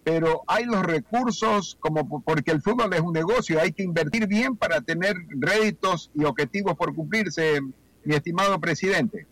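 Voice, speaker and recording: A man's voice: fairly high pitch, normal speed, fairly bright tone, no room sound, poor audio. A man with a Guayaquil Ecuadorian accent putting courteous, formal questions as the interviewer on a sports broadcast.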